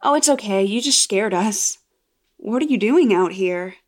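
A woman's voice: medium pitch, animated, a little slow, natural voice. A woman voicing a nervous, apologetic young girl in a horror audio story. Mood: relieved.

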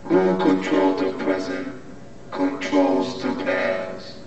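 A man's voice: low-pitched, completely flat delivery, takes it slowly, gravelly, dry recording, highs cut off. A man delivering a commanding, theatrical proclamation on a record, his voice run through a robotic vocoder. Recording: loud music over the voice.

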